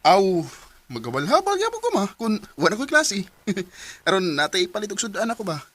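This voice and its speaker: A cheerful teenage son. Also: male voice, high voice, fairly quick, no echo, full character voice. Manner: lively, affectionate, laughing easily. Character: teenage son, cheerful motorbike-taxi driver. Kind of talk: radio drama acting. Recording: noisy background.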